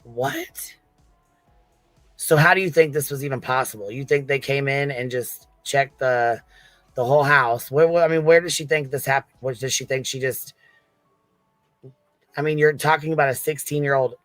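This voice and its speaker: A man's voice: medium pitch, normal speed, long pauses, a bit bright, dry recording, soft background music. Male live-stream host, casual and profane, medium voice, repeats himself for emphasis, close dry sound. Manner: blunt, heavily profane, exasperated. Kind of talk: casual true-crime reaction chat.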